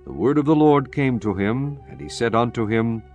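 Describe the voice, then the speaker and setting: A man narrating a dramatized Bible from a script, solemn and measured in his storytelling. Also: medium pitch, a little mellow, dull audio. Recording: music bed under the voice. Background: background music.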